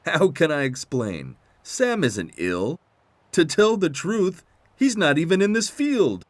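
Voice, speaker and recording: A man's voice: fairly high pitch, big pitch swings, slow pace, dry recording, pitched above the performer's own voice. A man voicing a knowing, amused farm vehicle who explains things patiently in a children's storybook. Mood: amused, explaining.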